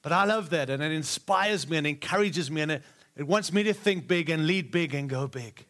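A man's voice: fairly high pitch, fairly quick, a bit bright. A middle-aged man, preaching to a live church with repeated, rousing phrases and jokes for the congregation. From Australia. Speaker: guest preacher.

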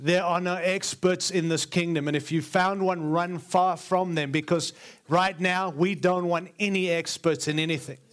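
A man's voice: high voice, steady tone, normal speed, fairly bright tone, clear, resonant tone. A man with an Australian accent preaching a sermon as a guest speaker to a live congregation, earnest and emphatic, stacking repeated phrases to build his point. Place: large church before a live congregation.

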